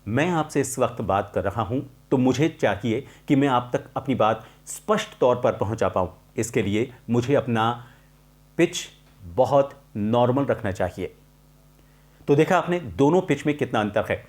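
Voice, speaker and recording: A man presenting an instructional tutorial as a voice coach, explaining in a measured, emphatic way and repeating key points. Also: medium pitch, lively intonation, darkish tone.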